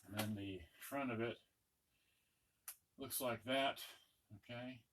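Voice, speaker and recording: A man's voice: medium pitch, slow pace, fairly bright tone. A man giving a casual hands-on demonstration, muttering to himself as he works. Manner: muttering to himself while working. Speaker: electronics unboxing presenter. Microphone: camera on a tripod. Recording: noisy room recording with clicks and handling.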